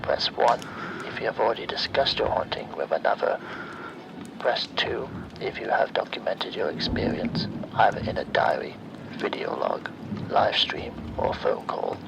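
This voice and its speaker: A man playing a sinister automated phone survey voice in a horror ASMR roleplay, breathy and often near-whispered, speaking in measured, formulaic announcements. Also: lowish voice, animated, noisy background. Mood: eerily polite.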